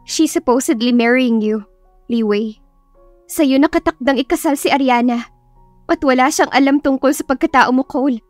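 A woman narrator plays a reproachful young woman in a romantic audio drama. She is insistent and scolding, with a high voice, close and dry over music. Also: normal speed, no echo, close to an everyday voice. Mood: angry reproach.

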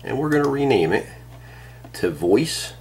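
A man's voice: medium pitch, sing-song, a little slow, darkish tone, slight background noise. A man talking casually through a demonstration, explaining plainly step by step. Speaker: tutorial presenter, amateur radio operator. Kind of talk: casual spoken how-to demonstration. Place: indoors at a radio and computer desk.

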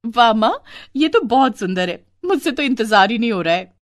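A woman voicing a dramatic, excitable young bride-to-be in a cartoon family story. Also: fairly high pitch, moderate pace, no echo, studio quality, pitched above the performer's own voice. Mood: excited. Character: excited, giggly young bride-to-be, grumbling about relatives. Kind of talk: animated Hindi family story acting.

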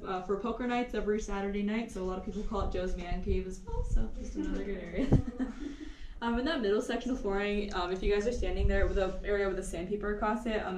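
A woman's voice: mid-range voice, darkish tone, slight background noise. Tour guide woman heard from afar. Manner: chatty and informative, light laughs. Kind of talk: guided tour talk to a group. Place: inside a large cave. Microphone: camera held by a visitor some distance away. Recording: echoey cave, voice from far off.

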